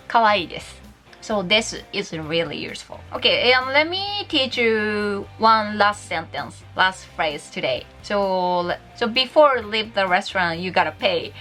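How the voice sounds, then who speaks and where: A chatty young woman from Japan speaks English with a Japanese accent, teaching a casual video lesson and laughing often. Her voice sounds bright and lively at a middling pitch. Faint music plays behind her.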